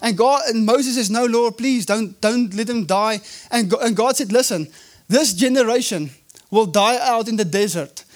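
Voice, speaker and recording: A South African man whose first language is Afrikaans preaches a sermon in a strikingly high-pitched voice. He leans hard on key phrases, drawing them out slowly, and often restarts his sentences mid-thought. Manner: preaching with emphatic drawn-out phrases and frequent restarts. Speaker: pastor.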